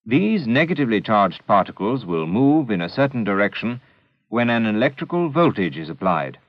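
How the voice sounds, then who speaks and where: A man narrating a scripted educational film in a measured, deliberate, didactic manner, on an old 16mm film soundtrack. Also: fairly low pitch, big pitch swings, dry recording, muffled recording.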